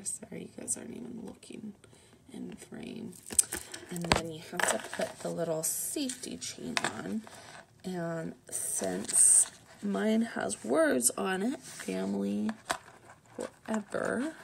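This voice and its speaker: A young woman chatting casually to camera in a home vlog, rambling with lots of fillers and soft half-whispered asides. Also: mid-range voice, gravelly, background noise. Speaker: lifestyle vlogger. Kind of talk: casual unboxing chat to camera. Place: home room, indoors.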